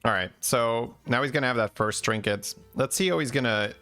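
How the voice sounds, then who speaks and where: A man giving relaxed, chatty game commentary and answering chat questions, with faint music behind. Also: medium pitch, fairly quick. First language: Dutch. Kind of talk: casual gameplay commentary.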